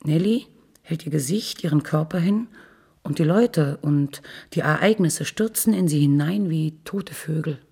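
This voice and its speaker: A woman giving a measured, sober reading of literary prose in a husky, breathy voice, as a radio narrator. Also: low voice, warm tone.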